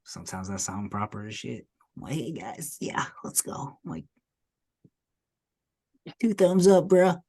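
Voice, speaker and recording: A young man from south of Boston with a trace of a Boston accent, chatting on a podcast. He is lively and animated, laughs often and keeps slipping into mock voices as he talks. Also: medium pitch, measured pace, pauses often, breathy edge. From Massachusetts, south of Boston. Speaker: podcast co-host. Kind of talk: casual podcast banter.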